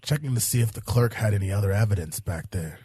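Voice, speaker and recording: A very raspy, dry-recorded detective acted by a man in an audio drama. He is cynical and pragmatic, a hard-boiled cop who defends his own methods in a lowish voice. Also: lively intonation, fast, mellow, dry recording. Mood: pragmatic, weary.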